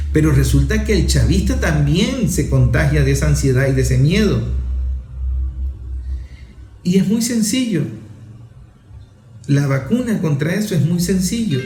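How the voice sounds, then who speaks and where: Man, emphatic rhetorical monologue, higher voice, echoey room. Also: a little mellow. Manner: emphatic, rhetorical, enumerating points. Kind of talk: opinion monologue.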